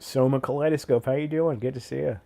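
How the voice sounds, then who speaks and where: A stuffed-up man with a cold, a little sniffly, chatting loosely on his live stream. He greets viewers one by one, tossing in dude and man, in a voice of ordinary middle pitch. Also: fairly even intonation, fast, vocal fry, mellow. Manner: laid-back, slangy, says dude and man a lot.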